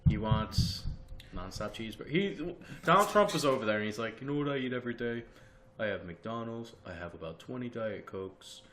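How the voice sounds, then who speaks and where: A man's voice: medium pitch, animated, a little fast, a bit bright. A young man co-hosting a podcast in casual chat, cracking goofy jokes. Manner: jokey, goofy asides. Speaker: podcast co-host. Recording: echoey room with faint noise.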